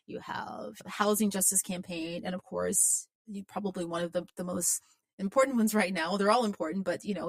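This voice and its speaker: Chatty Southern woman hosting a podcast. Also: medium pitch. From Memphis, Tennessee, US Southern. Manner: chatty, laughs often, self-deprecating. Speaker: podcast host. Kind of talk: conversational interview.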